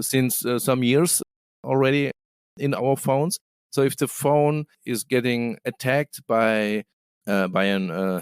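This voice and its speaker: Smooth-voiced man, dry close sound. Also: mid-range voice, no room sound. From Germany. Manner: hesitant, many fillers and restarts. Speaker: guest, business development manager. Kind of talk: casual interview answers.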